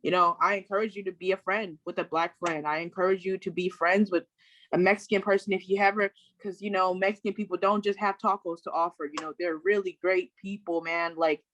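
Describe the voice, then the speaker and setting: A woman's voice: on the low side, fairly even intonation, a little fast, a bit bright, a little hiss or hum, low-quality recording. A woman from Sacramento speaking as a panelist in a casual discussion, outspoken and emphatic, often restarting her sentences. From Sacramento, California.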